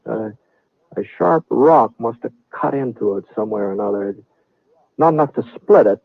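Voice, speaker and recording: An American actor playing a mild-mannered, thoughtful cowboy in an old-time radio western, speaking in a halting, folksy way. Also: male voice, mid-range voice, vocal fry, no echo. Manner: hesitant, halting, folksy drawl. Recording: dry, muffled old radio recording.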